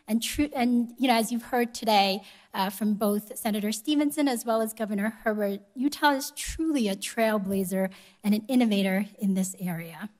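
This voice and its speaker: A woman delivering a prepared speech at a conference in a measured, polite and formal manner. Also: mid-range voice, darkish tone. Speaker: conference speaker, education organization executive. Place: live event room before an audience.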